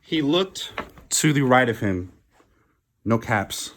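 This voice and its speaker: A man reads a children's storybook aloud at an unhurried pace. His medium-pitched voice swings up and down expressively, with deliberate dramatic pauses between phrases. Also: animated, a bit bright. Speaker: storybook reader. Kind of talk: children's picture-book read-aloud.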